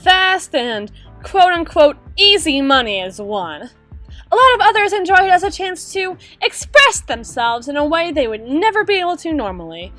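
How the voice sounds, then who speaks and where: A woman in an ASMR roleplay voicing a gruff, guarded drider girl bouncer in her twenties, wry and brusque with exasperated sighs. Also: very high voice, very animated, moderate pace, pushed and bright, exaggerated voice. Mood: grudging, threatening. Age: 20s. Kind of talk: ASMR roleplay audio drama. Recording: music playing in the middle distance. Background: club song playing in the middle distance.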